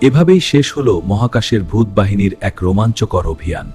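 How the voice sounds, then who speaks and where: A man's voice: lowish voice, expressive, a little fast, dark tone, husky, faint music behind. A man reading scripted story narration for a cartoon.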